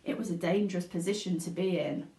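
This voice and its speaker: A woman narrating a children's storybook aloud in an expressive reading style. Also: lowish voice, fast talker. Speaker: teaching assistant reading aloud. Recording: echoey room.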